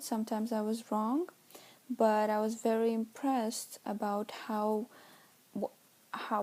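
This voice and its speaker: Romanian-accented woman student presenting, unhurried and halting, searches for words. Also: mid-range voice, warm tone. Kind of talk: class presentation.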